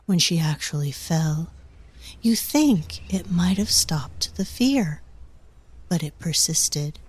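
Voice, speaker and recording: A woman playing a brooding, haunted storyteller, narrating dark fantasy dramatically in a breathy voice. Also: fairly low pitch, animated, takes it slowly, full character voice. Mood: brooding, hushed. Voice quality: breathy, husky, near whisper at times. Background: music.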